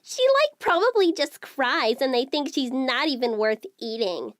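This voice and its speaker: Snide pony bully sidekick, high, lively, sneering drawl, dry sound. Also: female voice, a little slow, dry recording. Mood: scornful.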